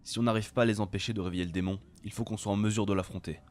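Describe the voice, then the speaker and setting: Practical blunt adventurer played by a man, lowish voice, very quick delivery, cautious planner, fantasy audio drama. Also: fairly even intonation, darkish tone, close to an everyday voice. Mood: firm, terse. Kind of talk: French amateur audio fiction acting.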